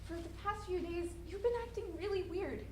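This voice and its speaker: A woman's voice: very high-pitched, brisk. A woman playing an insecure teenage girl in love, earnest and hesitant, in a staged dramatic reading. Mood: hurt, pleading. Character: troubled, insecure teenage girl in love, defiant with her mother. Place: live presentation in a room at a college. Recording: echoey room with clear background noise.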